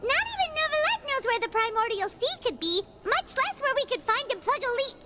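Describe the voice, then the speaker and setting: A woman voicing a tiny, childlike, excitable fairy companion in a video game, squeaky, blunt and full of exclamations. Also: a little fast. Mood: alarmed, puzzled. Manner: squeaky, exclaiming, refers to self by name. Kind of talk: English video game voice acting. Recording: loud game music behind the voice.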